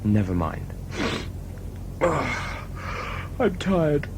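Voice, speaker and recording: A man's voice: fairly high pitch, sing-song, very slow, a little hiss or hum, full character voice, rough recording. A man playing a wry, sceptical traveller with a sardonic, offhand manner in an audio drama.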